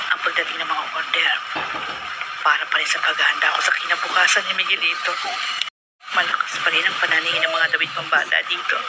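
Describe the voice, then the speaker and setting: An actress with a Filipino accent playing a hardworking, devoted seamstress musing aloud in a radio drama monologue. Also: female voice, on the low side, lightly acted. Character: devoted, hardworking seamstress caring for a small boy. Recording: very noisy radio drama recording.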